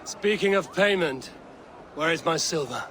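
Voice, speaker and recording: A self-assured Viking fighter for a video game, in a fairly high male voice. The delivery is dry and competitive, with clear outdoor noise around it. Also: expressive, measured pace, a bit bright. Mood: wry. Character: confident, wry Viking warrior, competitive drinker. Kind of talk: video game dramatic acting.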